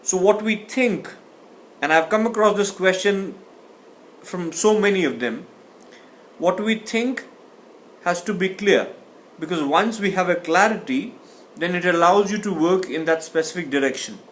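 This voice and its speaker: A man's voice: high voice, lively intonation, a little slow, a bit bright, slight background noise. A man teaching a communication class, speaking thoughtfully and often restarting or repeating words. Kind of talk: explanatory lecture.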